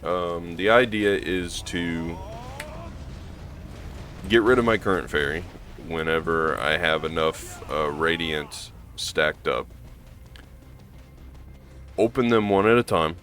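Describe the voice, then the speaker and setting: A hoarse man with a gravelly voice, rambling casually through gameplay commentary with lots of ums and restarts. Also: deep voice, slow pace, long pauses, fairly bright tone. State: hoarse from allergies. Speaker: gaming channel host.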